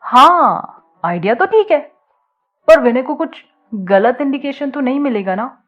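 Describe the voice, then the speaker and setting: A woman's voice: sits high, expressive, measured pace, narrow, tinny audio, faint music behind. A woman voicing a young college student in an audio story, wry and casual in how she talks. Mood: hesitant. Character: shy but teasing young woman in college.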